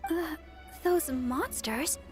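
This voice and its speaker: High, sweet young church deaconess. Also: female voice, very slow. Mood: surprised, eager. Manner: gentle, thoughtful, earnest. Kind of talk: anime-style game voice acting. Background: game music.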